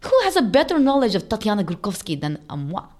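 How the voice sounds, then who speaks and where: A woman's voice: medium pitch, big pitch swings, fast talker, a little mellow. A woman playing a vain, theatrical Russian escapologist in an audio drama, grandiose and full of herself. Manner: grandiose, self-admiring.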